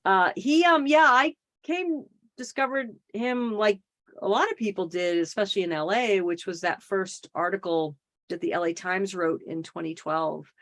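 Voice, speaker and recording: A woman's voice: medium pitch, moderate pace, fairly bright tone. A middle-aged woman from the Boston area, talking as a podcast guest and telling stories in a chatty way, with self-deprecating humor and lots of fillers. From Boston area, Massachusetts. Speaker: podcast guest, wildlife advocate. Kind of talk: casual conversational interview.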